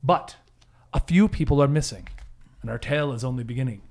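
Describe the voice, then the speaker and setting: A man's voice: medium pitch, brisk, a little mellow. A man working as game master, narrating a superhero tabletop game like a dramatic, theatrical storyteller.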